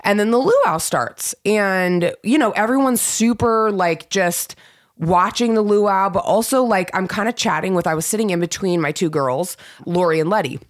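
A woman with a raspy, under-the-weather voice, telling a lively story as a casual podcast co-host. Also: medium pitch, normal speed. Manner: animated storytelling, laughs often. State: raspy from illness. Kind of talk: casual chat.

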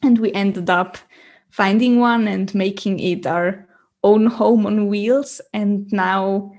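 Casual interview guest: woman, very unhurried, searching for words. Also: medium pitch, steady tone, a little mellow. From Switzerland. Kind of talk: casual interview talk in a second language.

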